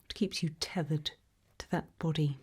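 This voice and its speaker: A British woman whispering an ASMR roleplay as a creepy, probing examiner, asking questions slowly and deliberately with quiet playfulness. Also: low-pitched, steady tone, slightly rough. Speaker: ASMR roleplayer playing an interviewer.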